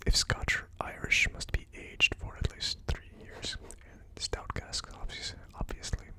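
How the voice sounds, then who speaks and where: A person whispering in a breathy, unvoiced ASMR style, casually murmuring through notes as the host of a tasting video. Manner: slow, murmured word-by-word listing while typing notes.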